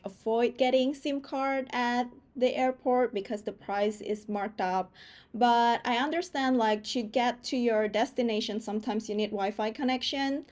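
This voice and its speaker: A woman with a fairly high voice talks straight to camera as a travel vlogger. She sounds chatty and easygoing, dropping in plenty of casual fillers as she walks through practical tips. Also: a little mellow. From Indonesia. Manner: chatty and conversational, frequent "like" fillers.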